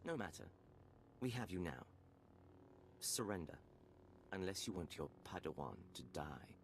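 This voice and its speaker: An actor playing an arrogant, cruel Imperial villain in a video game, taunting and condescending. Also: male voice, deep voice, very animated, a little slow, slight background noise, full character voice.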